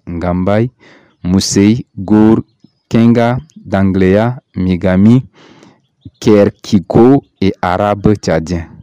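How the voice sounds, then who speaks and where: A man from Chad reading a prepared statement in a measured, earnest way. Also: low voice, dark tone, no room sound.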